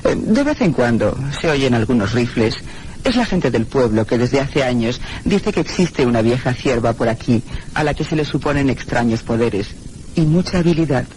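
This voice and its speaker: A male actor plays a polite, informative servant of a grand inn. The voice is mid-pitched and deferential, in radio drama style, with faint noise behind. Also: expressive, moderate pace, darkish tone, lightly acted, poor audio. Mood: polite, matter-of-fact.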